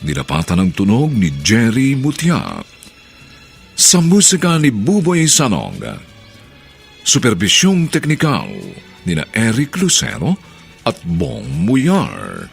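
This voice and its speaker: A man's voice: mid-range voice, huge pitch swings, slow pace, gravelly, pauses often, faint music behind. A man with a Filipino accent hosting a radio drama, announcing in a formal, theatrical scripted style.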